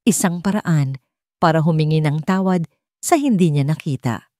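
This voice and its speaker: A woman's voice: low voice, moderate pace, a little mellow, no room sound, studio quality. A woman with a Filipino accent reading a scripted dramatic story as a narrator. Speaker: storyteller of an audio love drama.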